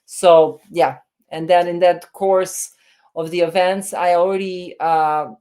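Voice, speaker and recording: Woman in her fifties, deep low voice, halting speech full of fillers, video call. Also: fairly even intonation, warm tone. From Serbia. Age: 50s. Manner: hesitant, many fillers and restarts. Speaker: film director and writer, guest. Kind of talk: remote interview, reflective storytelling.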